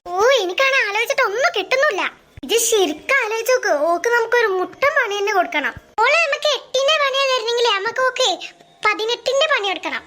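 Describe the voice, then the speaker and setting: A woman voicing a mischievous, teasing young girl in a comedy cartoon, excitable and giggly. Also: very high voice, quick pace, slight background noise, full character voice. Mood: scheming. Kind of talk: Malayalam comedy cartoon dialogue.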